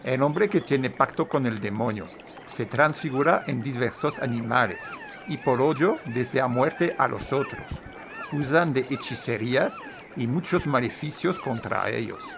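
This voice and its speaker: A man's voice: mid-range voice, wildly swooping pitch, a little slow, background noise. A man giving an informal history lecture, hesitant and full of fillers. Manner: says his own Spanish is a bit crooked. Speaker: video presenter on Nahua history. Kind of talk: informal lecture, partly read aloud.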